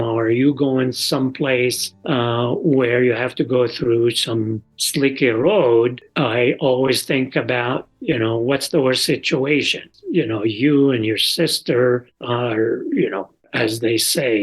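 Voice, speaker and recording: Older dad chatting reflectively, husky voice, takes his time, halting non-native english phrasing. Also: medium pitch, poor audio. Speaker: father being interviewed. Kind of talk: casual reflective conversation.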